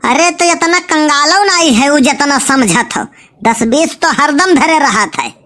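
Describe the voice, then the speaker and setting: A woman plays a cartoon village matchmaker with a very high, sharp voice and an Awadhi accent. The figure is cheeky and stubborn, always coaxing and pressing in colloquial comic banter. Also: expressive, measured pace. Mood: coaxing, insistent.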